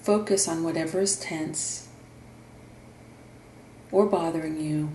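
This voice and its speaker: A woman leads a guided meditation at a very slow pace, with long pauses between gentle instructions. Her voice is soft-edged and mellow. It is recorded in a roomy, echoing space. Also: deep voice, fairly even intonation. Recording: roomy echo, faint background noise.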